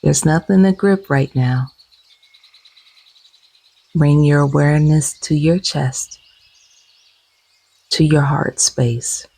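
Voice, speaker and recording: A woman leading a guided meditation gently and soothingly, with soft, breathy, husky tones and long unhurried pauses. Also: low voice, no echo, low-quality recording.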